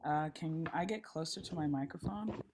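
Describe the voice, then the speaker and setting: A woman facilitating an online meeting, a little hoarse, friendly and chatty with frequent ums, speaking through a laptop mic on a video call. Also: low-pitched, moderate pace, a little mellow, a little hiss or hum. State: slightly hoarse. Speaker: session facilitator. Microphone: laptop mic, then headphones.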